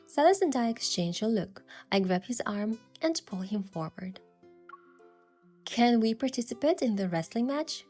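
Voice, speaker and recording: An actress playing a playful, determined young woman who narrates her romantic game adventure in a measured, expressive way. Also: on the high side, soft background music. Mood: determined, curious. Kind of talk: first-person story narration and dialogue.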